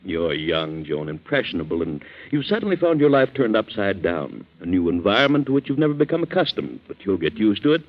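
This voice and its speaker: Casting: steady middle-aged father, lowish voice, expressive inflection, smooth paternal manner, vintage radio drama. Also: brisk. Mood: soothing. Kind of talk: 1940s radio thriller acting. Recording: muffled 1940s radio recording.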